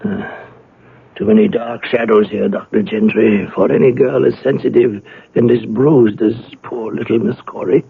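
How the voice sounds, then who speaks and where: An actor playing a loyal household servant in a 1970s radio drama, halting, formal and deferential. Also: male voice, fairly low pitch, steady tone, gravelly, phone-quality sound, a little hiss or hum. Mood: concerned.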